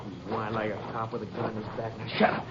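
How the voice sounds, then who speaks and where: An actor in a 1950s radio crime drama playing a sadistic, sneering killer who menaces and taunts. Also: male voice, lowish voice, flat delivery, fast talker, natural voice. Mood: menacing, sneering. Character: sadistic, sneering male killer bullying his girlfriend. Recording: noisy, dull old radio broadcast.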